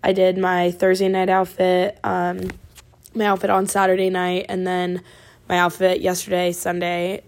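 A college-age woman from Oregon hosting her podcast, chatting casually with a raspy voice and lots of fillers. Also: on the low side, completely flat delivery, a little slow. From Oregon, USA. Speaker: podcast host. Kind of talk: casual chat.

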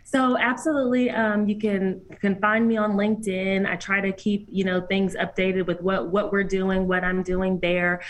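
A woman executive, a guest in an interview, speaking earnestly and encouragingly, often pausing with fillers. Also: mid-range voice, flat delivery. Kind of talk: conversational interview.

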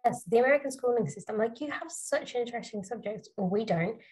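A woman's voice: fairly high pitch, expressive, fast, loud music behind the voice. A young British woman, a university student, chatting casually with lots of fillers. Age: young adult. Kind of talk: casual chat.

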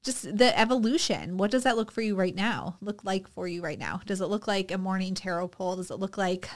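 Woman podcast co-host, casual chat, unhurried and reflective, easygoing. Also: medium pitch. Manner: relaxed, reflective, hesitant with many fillers.

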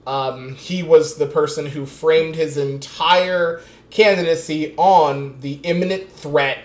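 A man's voice: a little high, steady tone, takes it slowly. Late-twenties man, casual political chat, rambling delivery, roomy echo. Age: 20s. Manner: rambling, many restarts and fillers, laughs often.

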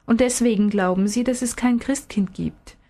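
Woman reading as a patient, gently probing father. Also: a little high, moderate pace. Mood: patient explaining. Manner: questioning, explaining patiently.

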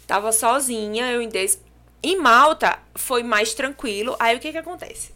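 A young adult woman with a Brazilian accent from João Pessoa, a podcast guest telling her story in casual chat, lively and animated. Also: a little high, normal speed. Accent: Brazilian Portuguese, from João Pessoa.

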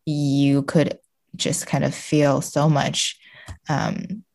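Low-pitched, slow-talking woman with some creak. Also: flat delivery, a little mellow. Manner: hesitant, searching for words. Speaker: podcast guest. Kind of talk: casual literary discussion.

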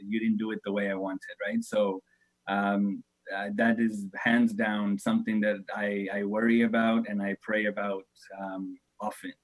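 A man's voice: lowish voice, flat delivery, unhurried, poor audio. A man in his thirties, a religious teacher, answering interview questions thoughtfully, hesitating often and laughing easily. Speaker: shaykh, religious speaker.

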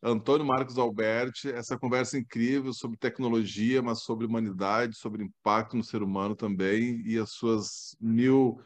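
A man's voice: mid-range voice, moderate pace, few pauses. Male podcast host from southern Brazil, chatty and enthusiastic. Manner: warm and enthusiastic, animated outbursts. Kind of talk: casual chat.

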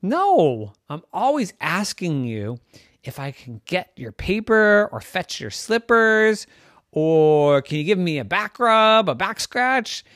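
Someone voicing a high excitable family dog. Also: takes it slowly, dry recording, studio quality. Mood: eager. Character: talking family dog, eager and a bit cheeky.

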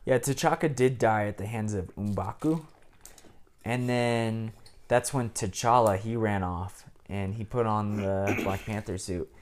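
A man's voice: on the low side, steady tone, slow. A man in casual chat, retelling cartoon plots at length with many ums and restarts.

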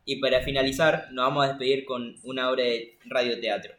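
A man's voice: medium pitch, steady tone, brisk, over music. Teenage Argentine male host, echoey room. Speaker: student radio host.

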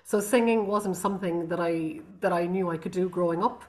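A thoughtful Irish woman talks about her music in an unhurried interview voice. She often pauses and circles back mid-sentence. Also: on the low side. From Ireland. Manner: thoughtful, with frequent ums and restarts. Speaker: guest artist. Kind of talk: reflective interview talk.

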